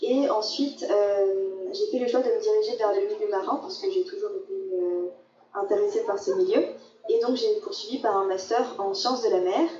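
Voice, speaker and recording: A young woman, a master's student, presenting her path in a measured way with fillers. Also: medium pitch, animated, mellow. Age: young adult. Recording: dull roomy sound from far off.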